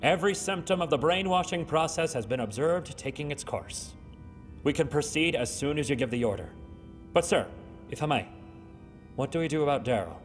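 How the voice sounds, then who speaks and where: A man's voice: on the high side, lively intonation, brisk, lightly acted. Man acting a reverberant, deferential villain's henchman. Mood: dutiful. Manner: formal, deferential. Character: dutiful, deferential henchman reporting to his villain master. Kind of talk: scripted video game acting. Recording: long hall reverb, music underneath.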